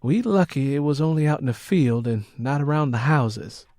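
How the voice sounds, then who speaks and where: A man's voice: medium pitch, lively intonation, moderate pace, no room sound, clean studio sound, natural voice. A man voicing a devout, steadfast Southern mother, speaking plainly and earnestly in an audiobook. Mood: worried. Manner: plain, earnest, gently reproving. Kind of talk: audiobook character acting.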